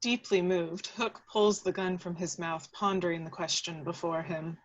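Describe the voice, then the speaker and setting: A woman's voice: on the low side, steady tone, moderate pace, muffled recording. Woman reading screenplay action lines. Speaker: stage-direction reader. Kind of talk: scripted narration of action.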